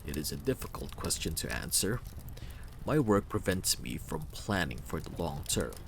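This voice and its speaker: A man's voice: sits low, very animated, normal speed, vocal fry, a little hiss or hum, full character voice. A man voicing a brooding, muscular mercenary in a fantasy game, guarded, terse and dry. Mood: measured, wary.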